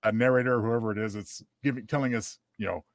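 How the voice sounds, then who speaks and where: A man's voice: lowish voice, fairly even intonation, fast, no echo, clean studio sound. A man co-hosting a podcast with casual, conversational commentary. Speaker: podcast host.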